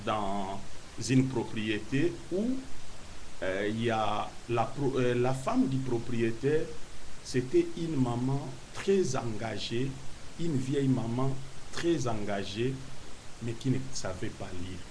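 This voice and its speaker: A man from Kinshasa, a guest preacher recounting his conversion in an interview, speaking hesitantly with many pauses. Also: mid-range voice, darkish tone, rough recording. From Kinshasa, Congo. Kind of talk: testimony interview. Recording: hissy broadcast recording.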